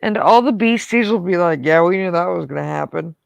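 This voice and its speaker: A woman hosting a casual stream chat, telling stories and quoting herself in quick asides. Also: fairly low pitch, vocal fry, smooth, no room sound, dull audio. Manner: chatty storyteller, quotes herself in asides. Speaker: stream host.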